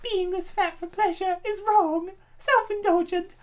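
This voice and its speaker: Lady doing a super high voiced space princess, anxious motherly type, earnest and unsure of herself, cartoon dub. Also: steady tone, unhurried. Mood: troubled confiding. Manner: earnest, troubled, hesitant confessions. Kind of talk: comic dub voice acting.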